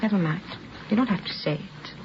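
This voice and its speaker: A woman's voice: medium pitch, a little fast, natural voice. Actress as defensive, weary mother, noisy old radio. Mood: desperate denial. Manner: defensive, pleading. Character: evasive, weary young mother under police questioning. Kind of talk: 1950s radio crime drama acting. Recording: dull, noisy vintage radio recording.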